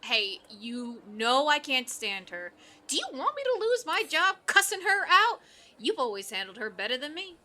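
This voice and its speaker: Woman playing a high, bright, excitable young friend. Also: normal speed, heavily acted. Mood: gleeful. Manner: exuberant, outspoken, nosy. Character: brash, excitable young female coworker and friend. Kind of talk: audio drama acting.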